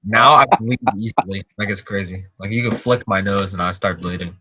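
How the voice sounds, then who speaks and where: A man's voice: deep voice, a little fast, pushed and bright, slight background noise. A teenage young man chatting casually as a podcast guest, telling anecdotes in an informal, slangy, rambling way on a slightly muffled recording. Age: teens.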